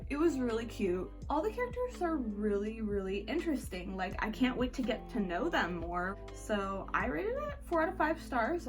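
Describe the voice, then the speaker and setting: A woman giving a casual, chatty review as a vlog host, speaking informally with "like" sprinkled through her sentences. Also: a little high. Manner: informal, peppered with "like". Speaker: book reviewer hosting a reading wrap-up vlog. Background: background music.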